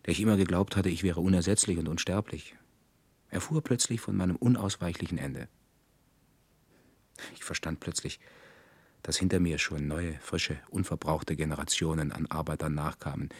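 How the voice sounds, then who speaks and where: A man acting a disillusioned slave worker who narrates in a radio drama, gravelly and husky, grave and deliberate. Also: deep voice, dry recording. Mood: shaken, bleak. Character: disillusioned male slave worker narrating his awakening in science fiction.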